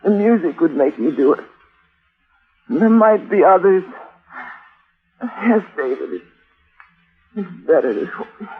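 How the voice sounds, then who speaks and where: A woman acting an anguished, murderous yet tender wife maddened by music, in halting, melodramatic 1940s radio drama style. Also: lowish voice, animated, breathy edge, exaggerated voice. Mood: dying, tender. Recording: tinny vintage radio recording with music.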